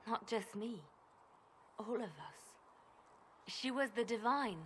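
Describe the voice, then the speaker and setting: A woman voicing a grave, guarded and devout spymaster in a video game, measured and brooding. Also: on the high side, lively intonation, fairly bright tone, slight background noise. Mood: bitter grief. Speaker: spymaster with agents. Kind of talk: dramatic video game voice acting.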